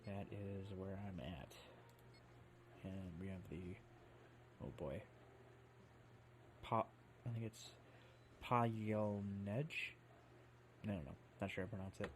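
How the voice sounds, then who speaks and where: A man's voice: sits low, slow, long pauses, a little hiss or hum. A man hosting a casual let's play, rambling and correcting himself as he explains the game, a bit sniffly from allergies. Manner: rambling, self-correcting, lots of fillers. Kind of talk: casual gameplay commentary.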